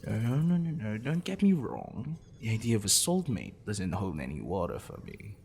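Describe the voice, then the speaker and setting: A man playing a possessive, smitten professor in an intimate ASMR roleplay, wordy and clinical, with teasing, musing pauses. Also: on the low side, big pitch swings, vocal fry, a little mellow, a little hiss or hum. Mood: pedantic, musing.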